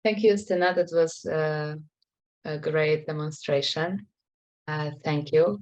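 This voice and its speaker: Female webinar moderator, very low voice, slow pace, dull video-call audio. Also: a little mellow. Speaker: webinar host. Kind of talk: moderating an online question session.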